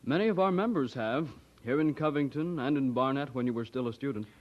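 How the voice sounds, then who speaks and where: Radio drama part male actor: deferential, concerned churchman, measured speech, average pitch, muffled vintage recording. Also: close to an everyday voice. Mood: polite, hopeful. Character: polite, worried church representative, a sober gentleman.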